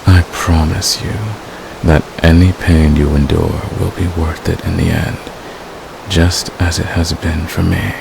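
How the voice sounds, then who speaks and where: A man playing a devoted, manipulative villain in a romantic ASMR roleplay, speaking with deliberate, possessive intensity. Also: little pitch movement, exaggerated voice. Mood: menacing, possessive. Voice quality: very deep, gravelly. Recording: clear background hiss.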